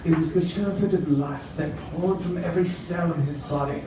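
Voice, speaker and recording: Theatrical man reading in an echoey room. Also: on the high side. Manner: theatrical, drawn-out delivery. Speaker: reader at a live dramatic reading. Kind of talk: mock-dramatic reading of erotic romance fiction. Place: roomy venue with a live audience. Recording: echoey room from far off, audience noise.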